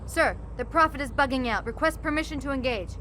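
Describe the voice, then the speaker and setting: A woman's voice: sits high, fast talker, bright, forceful tone, slight background noise. A woman playing a bold, resolute naval commander in a video game, with clipped, determined orders. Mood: urgent, defiant. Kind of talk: video game cutscene acting.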